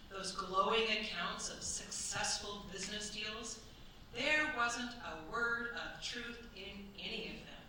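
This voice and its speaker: A woman narrating a staged radio play in a formal, measured, suspenseful style. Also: on the high side, sharp tone. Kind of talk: dramatic scripted narration. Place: stage at a cultural centre. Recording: echoey stage, distant mic, faint noise.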